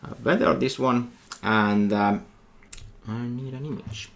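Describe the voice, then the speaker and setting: A middle-aged man with a Finnish accent, a university lecturer talking through a coding tutorial while he types, thinking aloud in halting phrases. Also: medium pitch, vocal fry. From Finland. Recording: faint noise with keyboard typing.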